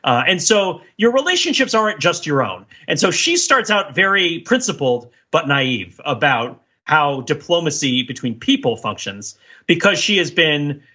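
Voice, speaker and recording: Husky guy podcast host, bright forceful voice, rambly casual analysis, bit dull recording. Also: a little high, big pitch swings, normal speed. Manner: discursive, often seeks agreement with "right?". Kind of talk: casual analytical discussion of a TV show. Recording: slightly dull, lo-fi sound.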